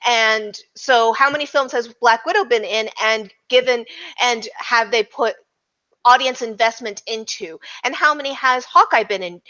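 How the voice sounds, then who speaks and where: Bright-voiced woman, slightly lo-fi podcast sound. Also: on the high side, normal speed. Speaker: podcast panelist. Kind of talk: casual film discussion. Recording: slightly dull, lo-fi audio.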